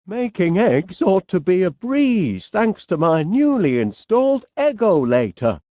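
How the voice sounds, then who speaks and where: An actor playing a cheery, absent-minded middle-aged inventor with a Northern English accent, bumbling and chatty in an animated comedy game. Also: male voice, high-pitched, a little slow, no room sound, studio quality. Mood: genial musing. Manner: bumbling, chatty, hesitant, mild exclamations.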